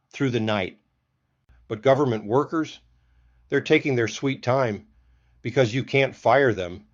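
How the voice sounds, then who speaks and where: A man's voice: mid-range voice, highs cut off. A man hosting a political commentary show, delivering a scripted monologue in a folksy, emphatic way with pointed rhetorical questions.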